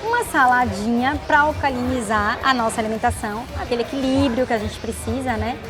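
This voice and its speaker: High voiced lady nutrition coach, chatty and upbeat, music going behind her. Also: brisk. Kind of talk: casual travel show chat.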